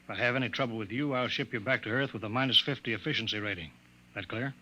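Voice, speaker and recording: A very sharp, forceful man's voice, an actor playing a gruff senior official in a vintage 1950s radio drama. He is brusque and bossy, speaks in clipped slang and bullies whoever is under him. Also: mid-range voice, fast talker, dull audio, slight background noise, natural voice. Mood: stern, bossy. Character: gruff, bullying, bigoted senior colonial official.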